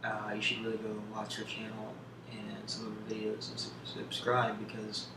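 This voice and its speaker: A man speaking as an ASMR video host, answering questions off the cuff in a hesitant, thoughtful way with long pauses. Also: lowish voice, completely flat delivery. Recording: roomy, distant, clearly noisy home recording.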